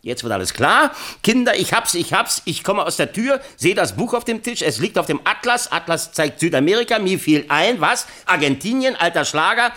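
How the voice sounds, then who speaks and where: Ein Schauspieler spielt in einem Hörspiel einen selbstbezogenen, leicht erregbaren Filmregisseur, der laut grübelt und gebieterisch auf seinem Willen besteht. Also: male voice, high-pitched, very animated, normal speed, hardly any pauses, sharp tone, exaggerated voice. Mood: excited. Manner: brooding aloud, insistent, commanding. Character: excitable, self-absorbed, imperious film director. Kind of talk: radio play dialogue.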